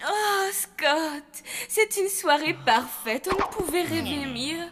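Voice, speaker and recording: A dreamy lovestruck bride. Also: female voice, high voice, lively intonation, slow, background noise. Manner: gushing, dreamy. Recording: music underneath.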